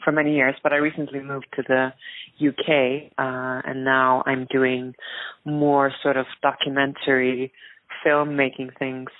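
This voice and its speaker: A woman's voice: extremely low pitch, level tone, measured pace, dry recording, narrow, tinny audio. A woman from rural Iceland with an Icelandic accent, talking thoughtfully and hesitantly as an interview guest. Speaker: interview guest, activist and filmmaker. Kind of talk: reflective interview talk.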